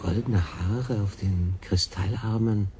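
An actor playing a wry, ailing stranded sailor who talks to himself in a radio drama, with noise behind the voice. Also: male voice, lowish voice, slow pace. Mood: wary, fascinated.

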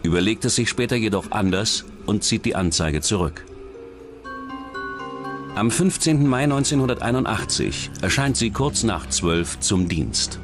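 A man narrates a true-crime documentary from a script in a low-pitched voice. His intonation moves about in a lively way from phrase to phrase. Music plays clearly underneath him. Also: unhurried. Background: background music.

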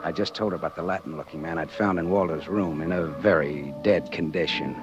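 Man acting deep-voiced hardboiled American private eye. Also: fairly quick, music in the background, natural voice. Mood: brooding narration. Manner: sardonic, clipped, world-weary. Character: wry, hardboiled male private detective. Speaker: actor and narrator in a radio drama. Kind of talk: 1940s radio acting, hardboiled detective narration. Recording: dull 1940s radio recording.

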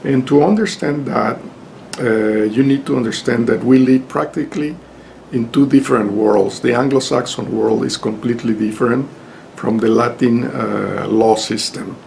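An older man in his sixties with a Mexican Spanish accent, giving an informal lecture to camera from his home study, halting and full of fillers and restarts. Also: medium pitch, gravelly, darkish tone, slight background noise. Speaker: lawyer and lecturer. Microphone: webcam at desk.

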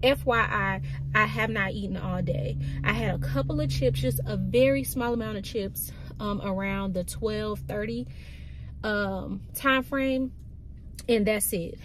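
A woman in her thirties chatting casually to her viewers in a vlog, filmed on a phone at arm's length in a parked car, with animated, folksy asides. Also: medium pitch, a little slow, background noise. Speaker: vlogger. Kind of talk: casual vlog chat to camera. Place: parked car, then home room. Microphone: phone camera at arm's length.

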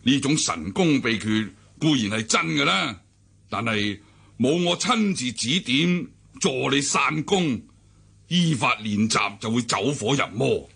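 An actor with a Hong Kong accent playing a domineering, cunning older cult leader in a radio drama, theatrical and raspy. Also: male voice, medium pitch, lively intonation, measured pace, fairly bright tone, heavily acted. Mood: explaining. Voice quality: raspy, gravelly. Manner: theatrical, commanding. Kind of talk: Cantonese radio drama acting.

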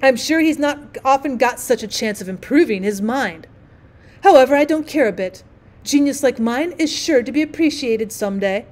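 A woman's voice: high-pitched, animated, moderate pace, a little mellow. A woman voicing a vain male firework rocket in a storybook, with a haughty, boastful, declamatory manner.

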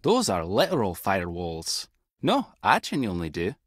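A clever Scottish intelligence agent in an adventure game. His husky voice sits fairly low and swings freely in pitch. He is wry and tech-savvy, recorded close and dry. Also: huge pitch swings, moderate pace, no echo, heavily acted. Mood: wry, explanatory. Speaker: video game voice actor. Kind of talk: scripted adventure game dialogue.

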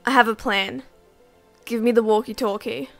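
Woman doing determined curious spaceship girl, really high voice, dry mic, minecraft roleplay. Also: fairly even intonation, normal speed, vocal fry, no echo, soft background music. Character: Raven, questioning, determined girl lost aboard spaceship. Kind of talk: amateur game roleplay voice acting.

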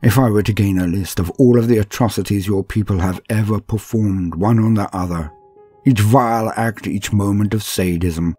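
A man voicing a sadistic male alien torturer in a slow, menacing first-person monologue, his voice gravelly, husky and often sinking to a whisper. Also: lowish voice, dark tone, faint music behind. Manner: slow, menacing, savoring each threat.

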